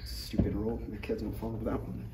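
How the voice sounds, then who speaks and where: A man's voice: fairly low pitch, completely flat delivery, a little fast, heavy background noise, close to an everyday voice. A man voicing a stubborn, casual young Jedi apprentice with offhand, grumbling delivery in a comedy table read. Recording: distant, echoey room recording.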